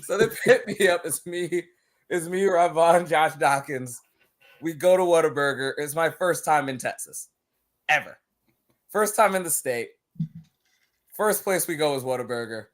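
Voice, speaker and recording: A man's voice: fairly high pitch, measured pace, long pauses. A man from Barbados, a wrestler guest on a podcast, talking casually and telling stories with lots of laughter and repeated words. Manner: animated storytelling, laughing often, repeats words.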